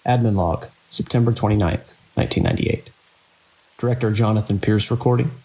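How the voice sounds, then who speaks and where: A man playing a weary hospital director and surgeon in an audio drama, speaking in a measured, formal, wry way. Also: fairly low pitch, dark tone, rough recording. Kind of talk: scripted audio drama narration.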